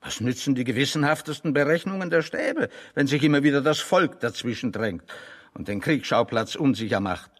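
A man acting in a German radio play as a pedantic, ironic intellectual, speaking deliberately and mock-seriously. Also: mid-range voice, expressive, a bit bright, long reverb tail.

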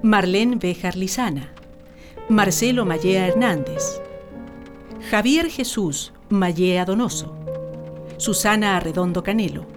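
A woman's voice: medium pitch, slow pace, pauses often. A woman narrating a scripted radio drama in a storytelling style. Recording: clear music bed under narration.

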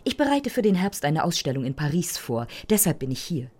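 A worldly photographer in her thirties in an audio drama. Her voice is low and husky, with animated intonation, and she sounds cool and superior. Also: a little fast, full character voice. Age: 30s. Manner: cool, condescending. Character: cool, condescending cosmopolitan woman photographer, late thirties.

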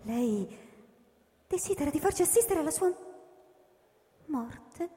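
A woman's voice: high voice, expressive, a little slow, warm tone. A woman playing a serene, courteous alien in a theatrical radio drama, speaking formally. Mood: serene, reverent. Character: serene, polite alien worshipper fascinated by death. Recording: long hall reverb, music underneath.

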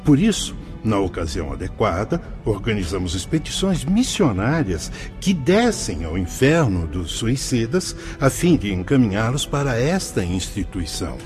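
Kindly spirit elder, solemn, declamatory, widely swooping intonation, radio drama, music underneath. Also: male voice, fairly low pitch, big pitch swings. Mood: solemn, explanatory. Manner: measured, paternal, preacherly phrasing. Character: kindly solemn spirit mentor, brother Anselmo, paternal guide. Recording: music behind the voice.

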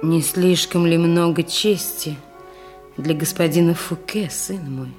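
An actress playing a stately, watchful queen mother, formal and deliberate, in a Russian radio drama. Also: fairly low pitch. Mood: watchful concern. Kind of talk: Russian radio play acting. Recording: loud music behind.

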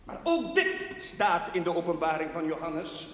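Solemn priest preaching in echoing church. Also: male voice, a little high, big pitch swings, fairly bright tone. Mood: solemn, fervent. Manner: solemn, declamatory, slow. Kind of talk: solemn sermon in a period television drama. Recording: echoey church hall with long reverb, faint noise.

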